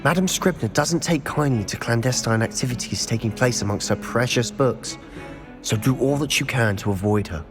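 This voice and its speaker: A man's voice: mid-range voice, normal speed, music in the background, close to an everyday voice. A man voicing a confident, sly teenage wizard in a video game, with a British accent and a wry, conspiratorial manner. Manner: conspiratorial, wry, self-assured. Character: confident, sly teenage schoolboy wizard, loyal rule-breaker.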